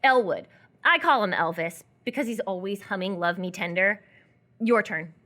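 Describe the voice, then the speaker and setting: A coy, lonely young captive, acted by a woman in a radio drama. Her bright, expressive voice swings in pitch as she teases and sidesteps with small hesitations. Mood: friendly, wistful. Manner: playful, evasive, hesitant pauses. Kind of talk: live radio theatre dialogue.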